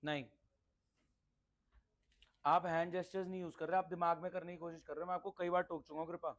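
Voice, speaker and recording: High-pitched male teacher. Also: level tone, quick pace. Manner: instructive, repeats points, checks understanding with questions; mixes in English terms. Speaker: accountancy teacher. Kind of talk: interactive classroom teaching.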